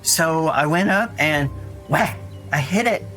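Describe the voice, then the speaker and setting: An actor playing an earnest, anxious young boy who tells his story in a rambling, halting way in an audio drama. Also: a little high, lively intonation, sharp tone, heavily acted. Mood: puzzled, earnest. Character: Dougie, frightened earnest young boy recounting his brother's disappearance. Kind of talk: naturalistic audio drama acting. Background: music under the voice.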